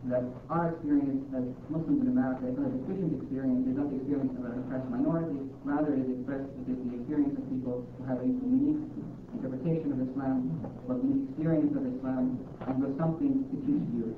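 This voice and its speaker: A man moderating a public talk, giving a thoughtful academic comment with hesitant "uh" pauses. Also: medium pitch. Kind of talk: lecture-style commentary. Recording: echoey hall from far off, tinny and noisy.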